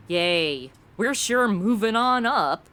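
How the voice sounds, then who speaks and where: A woman's voice: mid-range voice, expressive, takes it slowly, fairly bright tone. A woman playing a brash, ditzy, sarcastic modern young woman in a comedic audio drama, with slangy, theatrical delivery. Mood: sarcastic, flippant.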